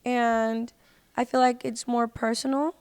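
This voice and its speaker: Female podcast guest, high voice, slangy and emphatic conversational delivery, very dry close sound. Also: little pitch movement, a little slow, smooth, dry recording. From United States. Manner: informal, slangy, emphatic with "like" and "literally". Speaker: podcast guest, independent singer. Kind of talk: casual chat.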